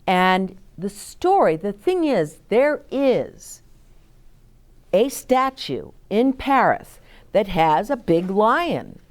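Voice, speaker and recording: Woman reading to children, strikingly animated, unhurried. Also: medium pitch. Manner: expressive, explains simply, asks children questions. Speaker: children's story time host and reader. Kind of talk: read-aloud story time, chatting to young children.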